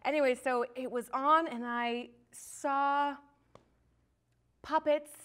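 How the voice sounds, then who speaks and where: A woman with a high voice chats casually as a show guest. She comes across as bubbly and excitable, with a giggly, informal way of talking. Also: slow. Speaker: guest, studio production coordinator. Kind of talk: casual chat.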